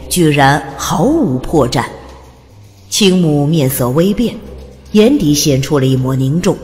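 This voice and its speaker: A woman's voice: deep voice, darkish tone. A woman narrating a fantasy audiobook in a dramatic, scripted storytelling style. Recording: long reverb over music. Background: music.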